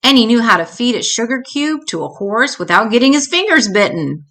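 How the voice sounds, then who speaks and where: A woman reading a children's book aloud in an expressive storytelling style, shifting her pitch to act out dialogue. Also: fairly quick. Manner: acts out dialogue with changing pitch.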